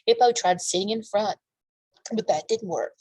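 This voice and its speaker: A woman's voice: mid-range voice, normal speed, darkish tone, slightly rough, dry recording. A woman reading aloud to young children as a storytime host, with chatty asides and questions for her listeners.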